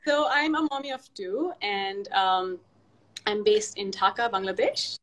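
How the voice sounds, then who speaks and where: A woman's voice: mid-range voice, a little slow, a bit bright. A woman, chatting as an interview guest, laughing often and restarting her sentences. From Pakistan. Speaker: interview guest, fashion designer. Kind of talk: casual video call interview.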